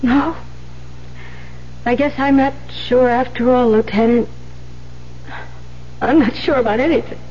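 Earnest, high-voiced young nun. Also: female voice, steady tone. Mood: sad, resigned. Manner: halting, unsure, repeats words. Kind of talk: 1970s American radio drama acting. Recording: muffled, hissy 1970s radio recording with hum.

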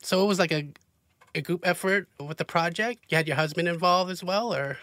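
A man's voice: high voice, a little fast, bright, forceful tone, no room sound. A man hosting an interview, asking friendly, enthusiastic questions with frequent uhs. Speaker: interviewer, host. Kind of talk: casual interview.

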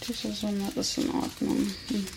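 A woman playing a menacing, mocking female vampire who teases a captive in an ASMR roleplay, sardonic and drawn-out, at times slipping into whispers. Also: medium pitch, flat delivery, lightly acted. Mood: smug, calculating. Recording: loud noise and crackle over voice.

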